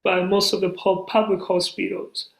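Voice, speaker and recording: A man's voice: high voice, little pitch movement, moderate pace, creaky. Earnest man from Burundi, echoey room. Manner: earnest, storytelling. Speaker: guest, nonprofit founder. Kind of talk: casual interview talk. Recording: roomy echo.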